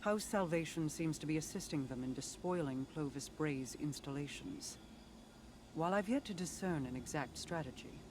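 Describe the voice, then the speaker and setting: An actor playing a watchful spymaster prince in a game, giving a formal, measured, ominous briefing. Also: male voice, on the high side, noisy background, natural voice. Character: cunning, watchful male spymaster prince commanding scouts and corsairs. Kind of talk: scripted game radio briefing.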